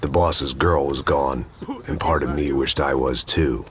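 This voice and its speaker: An actor voicing a weary, hard-drinking middle-aged ex-cop in noir voice-over narration, gravelly and deadpan. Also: male voice, a bass voice, unhurried, crowd noise. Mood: brooding. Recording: game audio with loud background noise.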